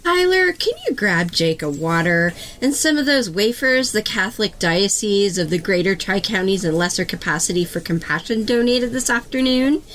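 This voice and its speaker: A woman playing a lively female news anchor. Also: medium pitch, normal speed, hardly any pauses, lightly acted, low-quality recording. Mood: concerned, wry. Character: composed, wry female local TV news anchor. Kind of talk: fictional comedy podcast acting.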